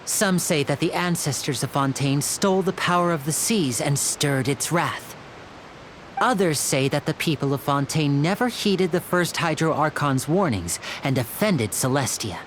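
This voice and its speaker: An actress playing a composed, earnest and mysterious young woman in a video game, with measured, articulate, theatrical delivery. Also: deep voice, expressive, slight background noise. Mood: solemn.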